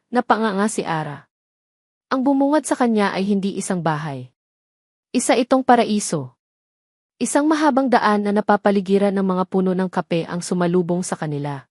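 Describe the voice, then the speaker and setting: A woman delivers scripted story narration in a dramatic audio format. Her pitch sits in the middle range, and the sound is dry, with hardly any room on it. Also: darkish tone, dry recording.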